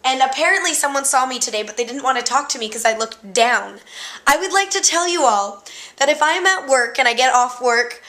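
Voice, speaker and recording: A young woman chatting casually and loudly in a vlog, excitable and joking. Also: sits high, fairly quick. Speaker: vlogger.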